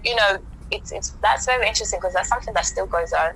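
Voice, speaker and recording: A young woman from Kenya with a British accent, chatting as a podcast guest, reflective and quick to laugh. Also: mid-range voice, creaky, a bit bright, faint music behind, rough recording. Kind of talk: casual chat.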